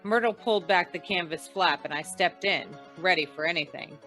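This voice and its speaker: A woman's voice: lowish voice, normal speed, pushed and bright, soft background music. A woman playing a wry, hard-boiled insurance investigator in an old-time radio drama, with dry, tough delivery. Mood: wry, tense narration.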